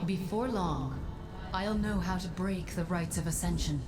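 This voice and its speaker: A calm, wise mystic guide from a fantasy game played by a woman. Her lowish voice echoes over music, composed and gently commanding. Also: a little slow, close to an everyday voice. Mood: composed, purposeful. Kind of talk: cinematic fantasy game acting.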